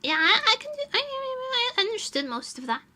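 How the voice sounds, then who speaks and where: A woman's voice: very high voice, sing-song, a little fast, bright, forceful tone. A young woman from Portugal chatting casually on a live stream, answering chat and laughing often.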